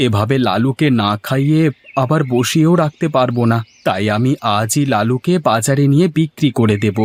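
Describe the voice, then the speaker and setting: A man's voice: mid-range voice, brisk, lightly acted. A man voicing a poor, caring village farmer in a moral-story cartoon, earnest and plaintive. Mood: resolved.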